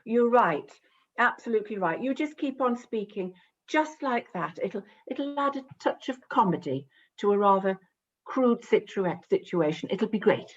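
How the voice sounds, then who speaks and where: A woman playing a brisk but patient theatre director in a naturalistic play, explaining and coaxing. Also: medium pitch, very animated. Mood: amused approval. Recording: dull muffled video-call audio.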